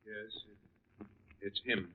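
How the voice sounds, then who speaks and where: A man's voice: lowish voice, steady tone, slow, noisy background. An actor playing a grave, insistent doctor in a vintage radio thriller, hesitating and repeating his words. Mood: grim. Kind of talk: 1940s radio thriller acting. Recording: muffled vintage radio recording.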